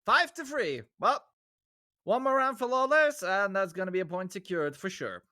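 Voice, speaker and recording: An Austrian man, a native German speaker, co-casting a live esports match in English, casual and profane, rising into loud hype calls. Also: sits high, normal speed, dry recording, clean studio sound. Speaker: esports co-caster. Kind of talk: live game commentary.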